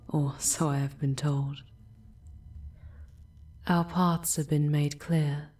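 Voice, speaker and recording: A woman playing an ancient, protective female demon in an ASMR audio drama, speaking in a breathy, formal, deliberate hush. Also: low voice. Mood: gentle, solemn.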